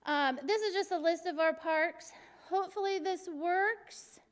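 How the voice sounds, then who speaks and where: Woman, middle-aged, from Arizona, very high-pitched, energetic, joking, civic presentation, long room reverb. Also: a little slow. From Arizona, USA. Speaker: city recreation superintendent.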